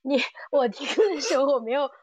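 A woman's voice: high-pitched, steady tone, brisk, dry recording, dull audio. A woman co-hosting a podcast, chatting casually and enthusiastically about audio dramas as a fan. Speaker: podcast co-host.

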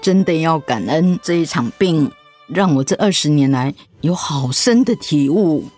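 An actress playing a sensitive, affectionate but easily hurt middle-aged wife in a radio drama, with a Taiwanese accent and a pouting, questioning manner. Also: female voice, sits low, lively intonation, unhurried, a little mellow, faint music behind. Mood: grateful, reminiscing.

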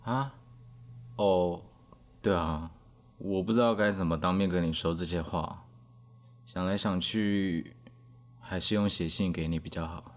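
A man's voice: sits low, measured pace, pauses often. A man with a Taiwanese Mandarin accent playing a whiny, flustered young dorm resident in a podcast radio drama. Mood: sheepish, tender.

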